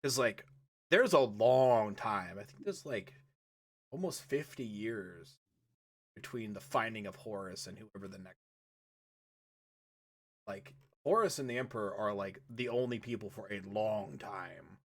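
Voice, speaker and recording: Dude rambling on a podcast, says like a lot, keeps restarting. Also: male voice, fairly low pitch, a little slow, long pauses. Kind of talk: casual roundtable chat.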